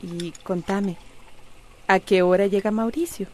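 Actress as a motherly Costa Rican woman, mid-pitched. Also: fairly even intonation, measured pace, warm tone, natural voice. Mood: concerned, advising. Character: concerned, motherly woman advising her friend. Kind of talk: radio drama acting.

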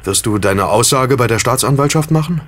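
An actor playing a friendly young amateur sleuth in an audio drama, casual and soothing with slight hesitations. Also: male voice, medium pitch, animated, a little fast, lightly acted. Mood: reassuring. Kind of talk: German audio drama acting.

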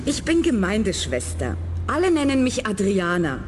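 An actress playing a tough young community nurse in a radio play, blunt and matter-of-fact. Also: female voice, medium pitch, huge pitch swings, moderate pace, music in the background. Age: young adult. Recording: faint background noise.

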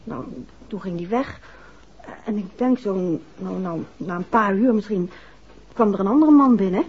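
A woman's voice: mid-range voice, moderate pace, slightly rough. A woman acting a detective's shaken but stubborn, affectionate wife in a 1960s radio drama, hesitant and insistent by turns. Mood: recounting, uneasy. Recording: muffled old 1960s radio recording.

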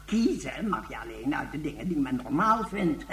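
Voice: mid-range voice, big pitch swings, normal speed, a bit bright, slight background noise. An actor playing a bitter, cantankerous old recluse, scornful and emphatic, in a science fiction radio drama. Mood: sardonic. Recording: roomy echo.

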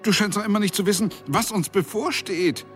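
An actor playing an impatient, forgetful old angel in a radio drama, halting and searching for words. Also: male voice, high-pitched, a bit bright. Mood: flustered, testy. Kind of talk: German radio drama acting. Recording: music behind the voice.

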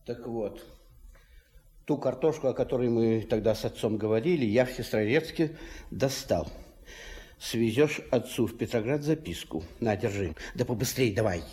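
A man playing a brisk, secretive village father in a radio play, giving clipped, urgent orders. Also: mid-range voice, expressive, darkish tone, lightly acted.

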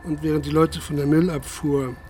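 A man narrating a literary radio drama in a sober, measured manner, with music behind him. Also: a little high, level tone, darkish tone.

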